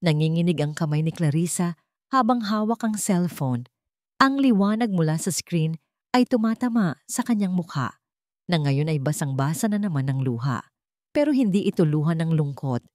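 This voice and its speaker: Mellow, even-pitched woman storyteller. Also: moderate pace, no room sound, clean studio sound. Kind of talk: scripted dramatic story narration.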